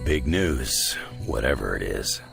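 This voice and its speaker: Game veteran: ominous, knowing fighter, cavernously low and gravelly, terse, music behind. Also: male voice, big pitch swings, slow pace, heavily acted. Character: grave, knowing male warrior, very deep-voiced. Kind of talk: video game cutscene acting. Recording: music clearly behind, night insects.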